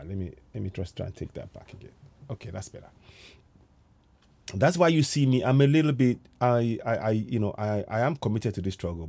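A man's voice: fairly low pitch, darkish tone, no echo. Earnest middle-aged male show host. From Yoruba Nigeria. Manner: earnest, repeats phrases for emphasis. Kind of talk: reflective commentary on a played video. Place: home room with headset. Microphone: headset mic.

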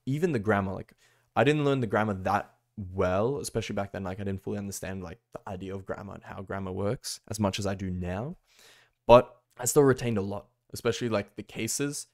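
Young man, lowish voice, rambling bedroom vlog, heavy on fillers. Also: a little fast, darkish tone. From Australia. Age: young adult. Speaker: language-learning vlogger. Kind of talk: casual talking-head vlog. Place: small bedroom, indoors.

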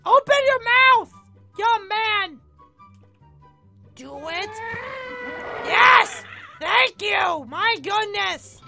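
A woman voicing an excitable, whiny animatronic ballerina in a game roleplay, dramatic and easily flustered. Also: very high voice, very slow, gravelly, long pauses, raised voice, faint music behind, full character voice. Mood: frantic. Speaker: video channel character host. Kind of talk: Minecraft roleplay video acting.

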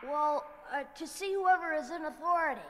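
Woman playing a high-voiced, curious, bold child. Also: brisk, a bit bright. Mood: hesitant, polite. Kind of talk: audio drama acting.

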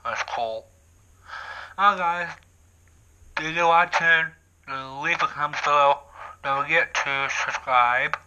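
A young man vlogging from his living room, excitedly talking through a handheld bullhorn and often repeating and restarting his sentences. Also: fairly high pitch, slow pace, pauses often, clear, resonant tone, lo-fi sound. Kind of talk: casual vlog to camera. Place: living room at home, indoors. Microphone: camera on tripod, talks through a handheld bullhorn.

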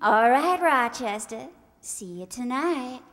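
A woman's voice: on the high side, expressive, takes it slowly, a bit bright. A young woman playing a sweet, coy girlfriend in an old-time radio comedy. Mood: playful, bossy. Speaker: amateur radio-play actor. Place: large indoor stage hall, stand mic. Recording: reverberant hall, stage microphone.

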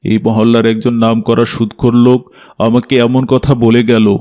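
A man plays a proud, devout cartoon father of middle age. His voice is mid-pitched and keeps to a level, serious line, as befits a strict mosque elder. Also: completely flat delivery, normal speed, dark tone, natural voice. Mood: hurt, pleading. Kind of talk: cartoon drama dialogue.

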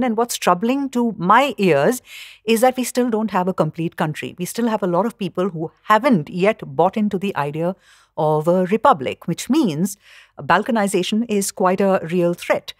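A woman co-hosting a podcast, interviewing in a deliberate, conversational way. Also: mid-range voice, no echo, studio quality. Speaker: podcast co-host.

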